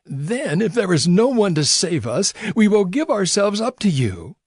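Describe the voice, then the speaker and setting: Man as a husky, high-voiced pleading town elder. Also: very animated, unhurried, no echo, clean studio sound, heavily acted. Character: pleading male elder of a besieged ancient town. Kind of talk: dramatized scripture reading.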